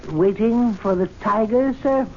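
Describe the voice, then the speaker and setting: An actor playing an old club steward in a radio drama, polite and dry on the surface but slyly insolent. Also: male voice, sits high, a little slow, darkish tone. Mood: slyly deferential. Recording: dull lo-fi radio recording with hiss.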